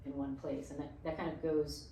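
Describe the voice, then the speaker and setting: A woman's voice: deep voice, little pitch movement, a little fast, warm tone, a little hiss or hum. A woman interviewing a guest, asking long, winding questions full of fillers and easy laughter. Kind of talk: casual interview conversation. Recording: very echoey room, voice far from mic.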